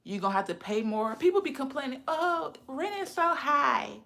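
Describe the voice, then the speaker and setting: A woman's voice: high-pitched, animated, moderate pace, gravelly, sharp tone. A woman in her thirties with a lisp, chatting casually with viewers on a livestream. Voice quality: says she has a lisp. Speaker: livestream host.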